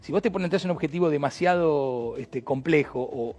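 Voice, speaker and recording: Argentine male host, husky and somewhat high-pitched, halting delivery. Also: a little mellow. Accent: Argentine Spanish. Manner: hesitant, many fillers and restarts. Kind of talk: conversational interview.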